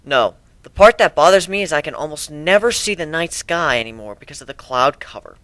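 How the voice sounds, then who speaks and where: A man acts out a kind, wistful griffin outsider in a bright, fairly high voice. He sounds conversational and reflective, a little hesitant, with expressive pitch. It is a dramatic reading of a cartoon fan story. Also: brisk, no room sound. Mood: wistful, calm.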